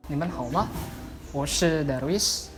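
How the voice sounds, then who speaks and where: A man from North Maluku, an admin staff member, explaining enrollment in an unhurried, informative way. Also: fairly high pitch, roomy sound, music in the background. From North Maluku, Indonesia.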